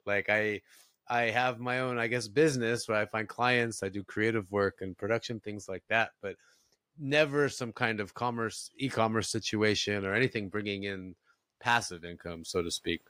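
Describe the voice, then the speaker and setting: A man's voice: fairly low pitch, normal speed, a bit bright, clear, resonant tone, no echo. A man hosting a podcast, talking and asking questions in a casual conversational style. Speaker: podcast host. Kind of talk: casual interview chat.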